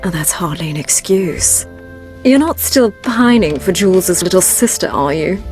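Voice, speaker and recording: A woman's voice: fairly low pitch, animated, moderate pace, a little mellow, faint music behind, lightly acted. Woman playing sultry, posh British socialite. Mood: flirtatious, teasing. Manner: sultry, arch, playful. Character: flirtatious, posh British socialite, cool and teasing. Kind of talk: video game cutscene acting.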